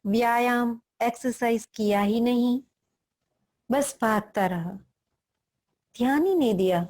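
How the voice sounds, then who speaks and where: A woman with an Indian accent leading a guided meditation, speaking deliberately in short phrases with long pauses between them. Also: a little high, darkish tone.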